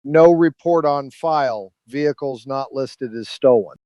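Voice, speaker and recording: An actor playing a gruff, impatient police officer in an audio drama, brusque and exasperated. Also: male voice, mid-range voice, unhurried, warm tone, dry recording, muffled recording, close to an everyday voice. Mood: impatient, suspicious.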